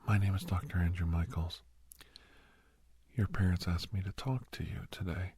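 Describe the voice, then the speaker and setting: A man playing a deep, husky, soothing doctor. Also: fairly even intonation, darkish tone, full character voice. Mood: reassuring. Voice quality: husky, breathy. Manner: soothing, hesitant, halting pauses. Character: gentle, reassuring male doctor counseling a nervous young werewolf. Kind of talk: ASMR roleplay, soft-spoken with whispering.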